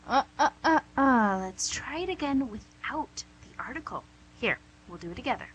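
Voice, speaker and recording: A woman's voice: sits high, lively intonation, normal speed, husky, dull audio, exaggerated voice. A woman playing a suave female devil boss in an amateur radio comedy, pedantic, condescending and mock-polite. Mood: patronizing. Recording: amateur radio recording with hum, noise.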